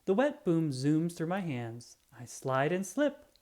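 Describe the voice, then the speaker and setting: Storybook reader, real bouncy and lively up and down, reading aloud for kids. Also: mid-range voice, unhurried. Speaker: children's book reader. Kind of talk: reading a picture book aloud.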